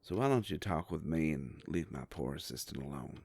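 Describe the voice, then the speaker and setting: A man playing a gruff, protective werewolf private investigator in a dramatic audio roleplay, with a gravelly voice and a slow, menacing, sardonic, folksy tough-guy delivery. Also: rumbling low, lively intonation, a little mellow, no echo, exaggerated voice. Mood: curt, confrontational.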